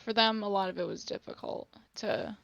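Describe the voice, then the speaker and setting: A woman co-hosting a casual podcast, hoarse from a sore throat, talking haltingly. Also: mid-range voice, very animated, fairly bright tone, no room sound, highs cut off. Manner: halting, lots of likes. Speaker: podcast co-host. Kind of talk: casual chat.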